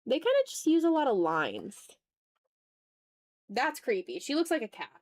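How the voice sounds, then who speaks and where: Female streamer, slightly high voice, casual and informal chat, close dry recording. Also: wildly swooping pitch, moderate pace, dry recording. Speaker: art livestream host.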